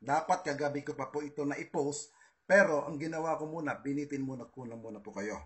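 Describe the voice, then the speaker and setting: A man's voice: fairly high pitch, brisk. Combative Filipino man talking to followers. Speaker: political video host. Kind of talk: informal monologue addressed to online followers.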